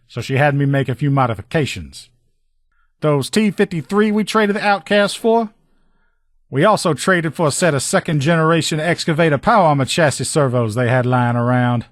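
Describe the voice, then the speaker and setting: A man's voice: on the high side, dry recording, clean studio sound, close to an everyday voice. A man playing a brash sheriff's lieutenant and proud armor mechanic in a video game, blunt, sardonic and salty-tongued. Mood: proud, explanatory. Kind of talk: video game character dialogue.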